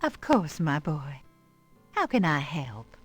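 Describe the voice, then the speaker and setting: A woman playing a kindly, wistful old grandmother in an adventure game, with a gentle aged voice and fond, expressive delivery. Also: low voice, slow, breathy edge, full character voice. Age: older. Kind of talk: voice acting in a 1990s adventure game. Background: game music.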